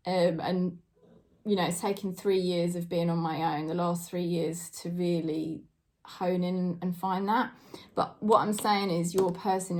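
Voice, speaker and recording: A woman in her forties with a British accent, giving a casual tarot reading to camera and talking in a chatty, hesitant way with many fillers and soft laughs. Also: fairly low pitch. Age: 40s. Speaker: tarot reader.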